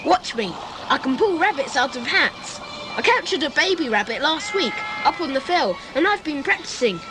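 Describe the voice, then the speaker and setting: A woman's voice: high-pitched, big pitch swings, moderate pace, noisy background. A woman playing an eager, anxious young boy in a radio play, earnest and pleading. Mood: eager, proud.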